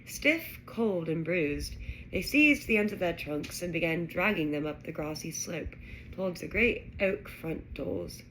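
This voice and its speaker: A woman narrating a children's fantasy story aloud in an expressive reading style. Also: fairly low pitch, unhurried, a little hiss or hum, rough recording. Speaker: audiobook-style reader.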